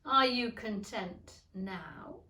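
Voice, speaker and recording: A woman voicing a curt, contradicting caterpillar in a storybook, speaking in a slow, drawn-out, languid way. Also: medium pitch, pushed and bright. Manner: drawn-out, deliberate, languid delivery. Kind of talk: storybook character reading. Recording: echoey room.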